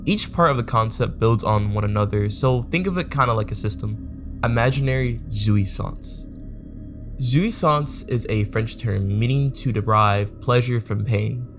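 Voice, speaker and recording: Gravelly man narrating over music. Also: medium pitch. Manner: steady, measured reading with slowed emphasis on key terms. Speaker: YouTube horror explainer. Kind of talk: scripted explainer narration. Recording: narration with clear music underneath.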